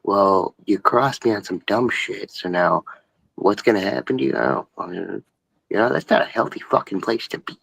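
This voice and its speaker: A man's voice: fairly low pitch, moderate pace, gravelly, fairly bright tone, dry recording, low-quality recording. A young man talking earnestly on a late-night stream, rambling and swearing a lot.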